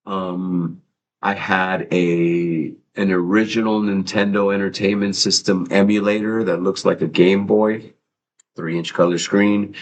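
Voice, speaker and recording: Low-voiced man rambling on a livestream. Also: a little hiss or hum. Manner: rambling, casual and profane, many fillers and restarts. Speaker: livestream host. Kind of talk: casual livestream chat.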